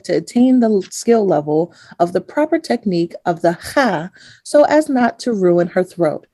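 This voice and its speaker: A woman's voice: fairly low pitch, warm tone. A woman playing a wry, mock-dramatic narrator, reading scripted narration for a comic radio play. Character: wry, deadpan female storyteller narrator.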